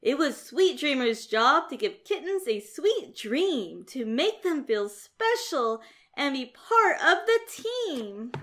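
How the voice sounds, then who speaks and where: High-voiced woman reading a children's story. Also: very animated, takes it slowly, a bit bright. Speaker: storybook narrator. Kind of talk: expressive rhyming children's story narration.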